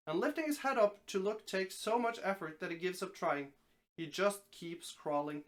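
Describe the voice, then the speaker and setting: A person giving a live dramatic reading of a story, narrating expressively with the occasional stumble and repeated phrase. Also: medium pitch. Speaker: streamer reading a story aloud.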